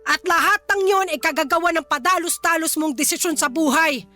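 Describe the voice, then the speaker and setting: A stern Filipino mother in a radio drama. Her voice is very high and sharp, scolding her grown son with exasperation and resentment. Faint music runs underneath. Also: fairly quick.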